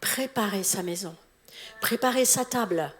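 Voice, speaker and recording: A woman's voice: medium pitch, measured pace. A middle-aged woman from Alsace, a visiting church official, preaching a sermon in a chatty way and laughing at her own slips. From Alsace, eastern France. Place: church hall.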